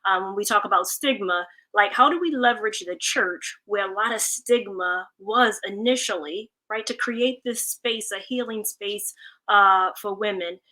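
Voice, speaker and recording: A woman's voice: mid-range voice, normal speed, pushed and bright, low-quality recording. A woman speaking earnestly and reflectively as a community advocate in a panel discussion, stressing key words thoughtfully.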